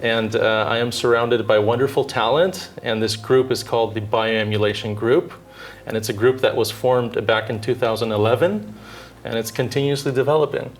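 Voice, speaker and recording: Measured American man with many pauses. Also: medium pitch, fairly even intonation, slight background noise. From Southern California, United States. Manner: measured, thoughtful, with frequent filler pauses. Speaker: dentist and orthodontist guest. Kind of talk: interview answers.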